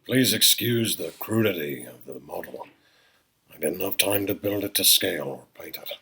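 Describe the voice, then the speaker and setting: A man voicing an excitable, eccentric old scientist in a comic table read, raspy and theatrical, swinging from hushed to booming. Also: moderate pace, exaggerated voice. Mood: earnest, explanatory.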